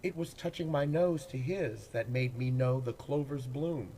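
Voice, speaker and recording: A man, an actor, reading poems aloud in a dramatic, deliberate way with pauses between phrases. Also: mid-range voice, warm tone, slight background noise. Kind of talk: dramatic poetry recitation.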